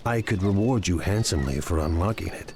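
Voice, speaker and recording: An actor playing a confident, sly crime lord in a video game, sardonic and self-assured. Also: male voice, sits low, animated, fairly quick. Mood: sly confidence. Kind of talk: scripted video game acting.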